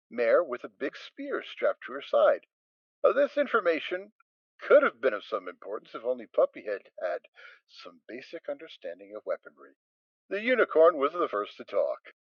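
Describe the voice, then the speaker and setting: A man reads a story aloud with wry, expressive narration. His pitch rises and falls in lively swings. The home mic sounds dull and completely dry. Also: no room sound. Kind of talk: dramatic reading of fan fiction.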